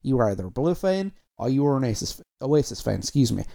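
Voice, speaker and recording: A man's voice: medium pitch, sing-song, quick pace, creaky, dark tone. A man hosting a music podcast in a rambling, self-deprecating, chatty way, his audio sounding compressed and dull. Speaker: podcast host. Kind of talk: casual podcast chat.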